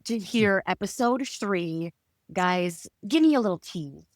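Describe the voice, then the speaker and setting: A woman hosting a podcast in casual chat, bubbly and quick to laugh. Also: medium pitch, expressive, dry recording, studio quality. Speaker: podcast host.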